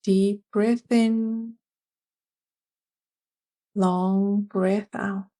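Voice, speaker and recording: Woman, meditation guide, unhurried slow delivery, mid-range pitch, gentle, soothing, measured. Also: level tone.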